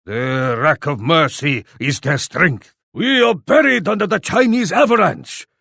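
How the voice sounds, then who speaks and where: A man's voice: a little high, expressive, unhurried, vocal fry, a bit bright, no echo, exaggerated voice. Grim japanese warlord for a strategy game, solemn and grand, takes his time, very dry close sound. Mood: grim, alarmed. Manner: solemn, declamatory, speaks of himself in third person. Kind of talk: theatrical video game voice lines.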